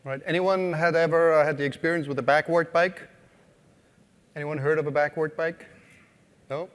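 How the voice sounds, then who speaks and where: A man's voice: a little high, steady tone, moderate pace, fairly bright tone, in a large hall. A man with an Austrian accent giving a conversational keynote to a live audience, asking questions and using many fillers. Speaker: conference speaker. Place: conference hall.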